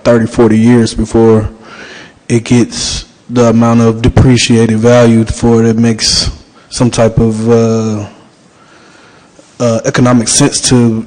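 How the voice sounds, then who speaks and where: A man's voice: lowish voice, darkish tone, low-quality recording. A man speaking as a commissioner at a public planning meeting, hesitant with many fillers.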